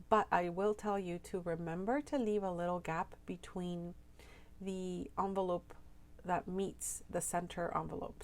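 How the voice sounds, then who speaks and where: A woman with a slightly hoarse voice, narrating a crafting tutorial voiceover, soft-spoken and explaining with frequent ums. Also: on the low side. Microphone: home voiceover mic.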